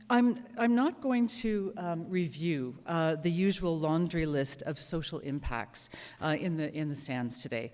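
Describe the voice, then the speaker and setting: A woman professor with a cold giving an academic conference talk, measured with frequent ums. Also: sits low, a little mellow. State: has a cold. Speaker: professor presenting research. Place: lecture hall. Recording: reverberant lecture hall.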